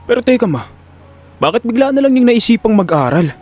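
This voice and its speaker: A man's voice: extremely high pitch, sing-song, fairly quick, darkish tone, faint music behind, pitched above the performer's own voice, exaggerated voice. A man with a Filipino accent voicing an anxious, scheming young son in an audio drama. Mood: uneasy.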